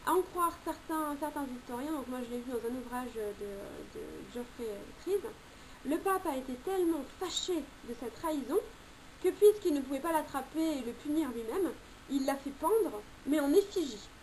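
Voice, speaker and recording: High-voiced woman chatting through tarot cards. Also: lively intonation, normal speed, darkish tone, slight background noise, rough recording. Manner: chatty, many hesitations and self-corrections, jokes aside. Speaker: tarot commentator. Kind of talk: informal spoken commentary on tarot cards.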